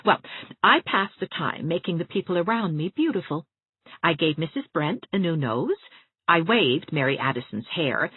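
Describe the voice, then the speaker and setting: A woman voicing a cheerful, eager young woman who talks in a lively, coaxing way. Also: deep voice, slightly rough, no echo, heavily acted. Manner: bright, lively, persuasive. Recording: thin, phone-like narrow sound.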